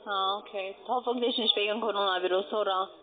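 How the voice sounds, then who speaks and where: A woman's voice: medium pitch, steady tone, brisk, crowd noise. Woman on a tinny phone. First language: not German. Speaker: telemarketer. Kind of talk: sales call. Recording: tinny telephone line.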